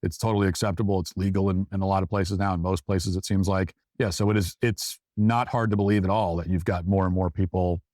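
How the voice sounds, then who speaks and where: A man's voice: sits low, steady tone, fast, hardly any pauses, a little mellow, no room sound, studio quality. A man hosting a talk show, giving emphatic opinion commentary and repeating phrases for stress.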